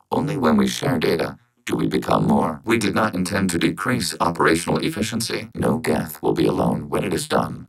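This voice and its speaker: A science fiction game robot with an extremely low, gravelly voice. It speaks in formal, measured phrases, calm and emotionless, through synthetic robotic processing. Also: male voice, full character voice, poor audio. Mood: detached, earnest. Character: calm logical robot of a networked machine collective. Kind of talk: scripted science fiction video game dialogue.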